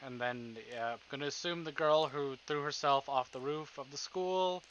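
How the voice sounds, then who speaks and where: A man's voice: a little high, moderate pace, a bit bright, slight background noise. A man streaming a visual novel, reading and commenting casually with joking, sweary asides. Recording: dull lo-fi sound, music behind.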